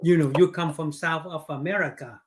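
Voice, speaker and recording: Halting Vietnamese-accented man. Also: a little high, steady tone. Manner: halting, repetitive, broken English, frequent fillers. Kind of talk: casual interview chat.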